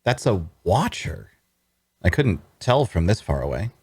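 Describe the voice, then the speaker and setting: Man as an earnest traveler, low dry storytelling voice. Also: very animated, measured pace, a little mellow, husky, dry recording, exaggerated voice. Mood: uneasy. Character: earnest, cash-strapped young male traveler telling his tale. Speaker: narrator and lead actor in a table read. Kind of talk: first-person fantasy narration and dialogue.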